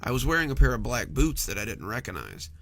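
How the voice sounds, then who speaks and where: A man's voice: medium pitch, very animated, fast, creaky, a bit bright, a little hiss or hum. A man reading a scripted first-person horror story in a conversational storytelling manner.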